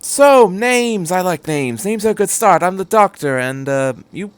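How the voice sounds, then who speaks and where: A man's voice: high-pitched, lively intonation, unhurried, clear, resonant tone, no echo, pitched above the performer's own voice. A man voicing an upbeat, eccentric male traveller who rambles in British slang, in a storybook reading. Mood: brisk, friendly.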